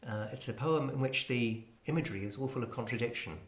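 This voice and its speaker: A man presenting an explanatory talk on classical music in a measured, hesitant, scholarly manner. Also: mid-range voice. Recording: roomy, echoing space.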